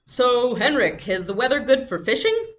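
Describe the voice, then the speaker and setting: Woman reading as protective wartime father, decisive, earnest, deliberate, storybook read-aloud. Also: on the high side, big pitch swings, voice pushed up. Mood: feigned cheer.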